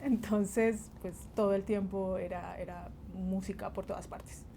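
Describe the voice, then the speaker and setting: A middle-aged Colombian woman, a bassist and music teacher, talking about her life in an interview, laughing often and pausing between phrases. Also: fairly even intonation, vocal fry, dark tone, slight background noise.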